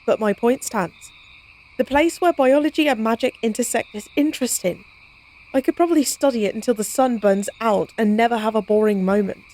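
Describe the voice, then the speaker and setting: Woman playing a high-voiced, flippant young-sounding vampire lady. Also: brisk, darkish tone, close to an everyday voice, rough recording. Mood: enthusiastic. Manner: flippant, teasing, wry, casual. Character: playful, cocky female vampire lab partner, science-obsessed, teasing. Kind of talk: intimate audio roleplay, conversational acting.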